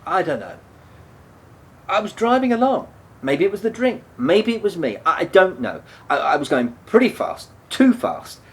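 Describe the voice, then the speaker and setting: An actor in a radio play playing a remorseful, defensive man who speaks hesitantly, stumbling and repeating himself. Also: normal speed. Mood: anguished. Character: remorseful, defensive man haunted by a fatal drunk-driving crash. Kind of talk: naturalistic radio play dialogue. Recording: steady background noise, low rumble.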